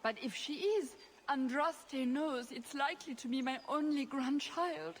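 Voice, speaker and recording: Haughty game countess, middle-aged; high voice, melodramatic indignation, faint noise behind. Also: female voice, measured pace. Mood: indignant.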